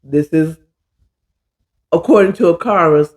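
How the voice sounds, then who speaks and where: Hoarse, stuffy-voiced man chatting slowly. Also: a little high. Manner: chatty, drawling, says "child" a lot. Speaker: podcast host. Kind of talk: casual cooking chat.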